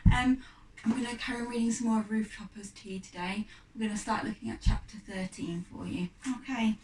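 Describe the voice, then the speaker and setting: A woman reads a children's storybook aloud like a teacher, with expressive, deliberate phrasing. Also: on the high side, slight background noise. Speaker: primary school teacher reading aloud. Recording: echoey room, distant microphone.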